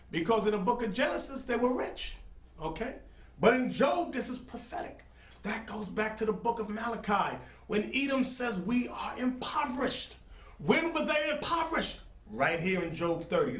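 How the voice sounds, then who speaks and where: Man, high lively voice, fiery bible teacher, roomy off-mic studio sound. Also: recorded from a distance. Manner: shouts, repeats phrases, directs the reader. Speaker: preacher teaching from scripture. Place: studio set with dark backdrop.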